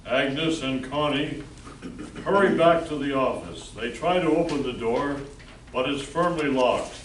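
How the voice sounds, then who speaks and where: A man narrating an amateur play from a script, with deliberate storytelling delivery. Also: medium pitch. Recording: roomy, distant mic with background noise.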